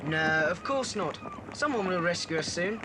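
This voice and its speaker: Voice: mid-range voice, animated, moderate pace, lightly acted. A performer playing a sensible, reassuring older boy in a children's adventure radio play. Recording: noisy old cassette transfer.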